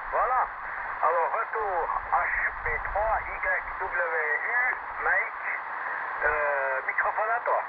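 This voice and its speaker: A man chatting casually as an amateur radio operator over shortwave, in an easygoing, halting way full of tag words. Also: high voice, expressive. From Switzerland. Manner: easygoing, halting, frequent "quoi, hein" tags. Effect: shortwave radio transmission. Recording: narrow shortwave radio signal, very loud static.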